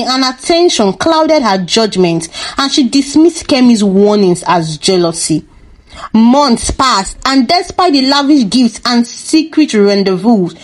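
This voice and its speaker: A woman reading a scripted folktale as a storyteller. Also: on the high side. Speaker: storyteller, narrator.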